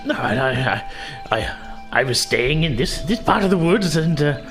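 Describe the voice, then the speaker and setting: A man voicing an eccentric, gentle middle-aged hermit druid who speaks earnestly and haltingly, repeating words. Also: on the high side, big pitch swings, over music. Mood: worried.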